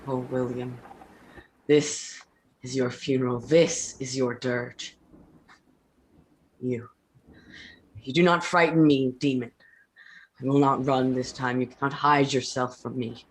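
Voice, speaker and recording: A woman playing an anguished, stubborn young scientist in a staged gothic reading, intense and halting. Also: rumbling low, fairly even intonation, breathy edge, full character voice, poor audio. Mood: vengeful grief. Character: Victor, anguished, grief-stricken young scientist, stubborn and wild.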